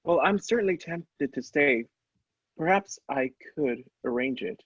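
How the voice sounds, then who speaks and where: A man playing an earnest, easily amazed doctor in an old-style radio play, speaking with theatrical, halting wonder. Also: on the high side, expressive, a bit bright, dry recording. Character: astonished, earnest male doctor visiting inventor. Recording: dull lo-fi, dry sound.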